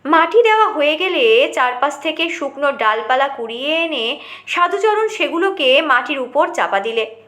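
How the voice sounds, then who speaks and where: A woman's voice: high voice, lively intonation, normal speed, a bit bright, roomy sound. A woman reading a short story aloud as dramatic scripted narration.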